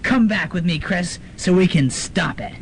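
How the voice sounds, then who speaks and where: A man playing a dramatic, excitable college student, urgent and slangy, in a student radio drama. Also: sits high, moderate pace. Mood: urgent, ominous. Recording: noisy amateur student radio recording.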